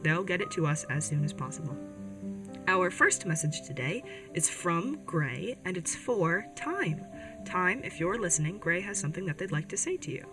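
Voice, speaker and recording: A woman's voice: on the low side, sing-song, normal speed. A woman playing an earnest, caring older sibling who hosts a community radio show in an audio drama, chatty and a little hesitant. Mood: warm, hopeful. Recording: music bed behind the voice.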